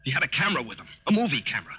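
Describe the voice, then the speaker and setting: A man's voice: mid-range voice, big pitch swings, very fast, breathy edge. An actor playing a frightened young American man pleading urgently with his father in a 1940s radio drama. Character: anxious grown son of a crook, frightened, pleading. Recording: dull lo-fi 1940s radio recording.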